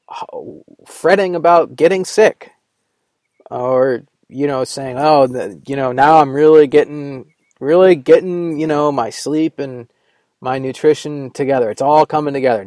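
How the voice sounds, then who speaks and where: A man's voice: on the high side, normal speed, a little mellow, no room sound. A man talking alone in a rambling, sarcastic way, full of fillers, just getting over a cold. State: recovering from a cold. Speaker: podcast host. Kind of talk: rambling solo monologue. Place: Vermont.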